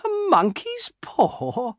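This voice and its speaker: A man's voice: high voice, sing-song, slow, a bit bright, no room sound, clean studio sound, pitched above the performer's own voice, full character voice. A man voicing an eager, teasing young son in a storybook, curious and disbelieving. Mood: amused disbelief.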